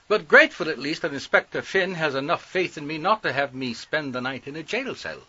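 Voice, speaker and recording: An actor playing a testily polite gentleman under suspicion in a radio mystery, speaking in a formal, clipped, evasive way with a husky voice. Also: a little high, expressive, a little fast, lo-fi sound, exaggerated voice. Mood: testy, polite. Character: stiff, guarded gentleman murder suspect, testily polite. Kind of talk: scripted radio mystery acting.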